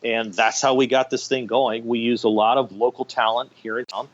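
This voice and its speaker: A man's voice: mid-range voice, little pitch movement, moderate pace. Middle-aged man chatting, slightly dull recording. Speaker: guest, radio theater director. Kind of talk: conversational interview storytelling.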